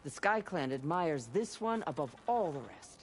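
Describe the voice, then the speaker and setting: Earnest game guide telling old clan legends, really lively pitch, bit of background noise. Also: male voice, normal speed. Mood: earnest, reverent. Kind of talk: dramatic game storytelling.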